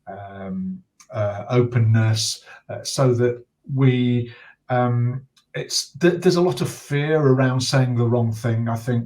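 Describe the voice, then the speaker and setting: A mature man with a British accent speaks in a reflective, conversational way. His delivery is earnest and measured, with hesitant pauses as he chooses his words. His pitch sits in an ordinary male range. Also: darkish tone. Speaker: interview guest, bereaved father and campaigner.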